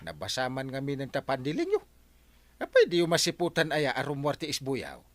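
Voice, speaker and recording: A man's voice: mid-range voice, wildly swooping pitch, fairly quick, dry recording. A man acting as a short-tempered husband with an Ilocano accent in a radio drama. His mid-range voice swings dramatically up and down with emphatic, exasperated delivery. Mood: irritated. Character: irritable, short-tempered married man of the household.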